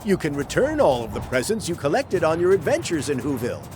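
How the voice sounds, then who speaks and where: A man narrating a rhyming children's storybook in a theatrical, dramatic way, drawing out key words with deliberate phrasing. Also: fairly high pitch, wildly swooping pitch, music in the background.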